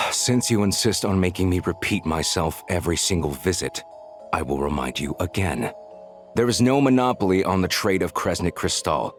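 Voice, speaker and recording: An actor playing a stern, impatient military official in a video game, speaking in a formal, curt, bureaucratic manner. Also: male voice, sits low, animated, normal speed, faint music behind.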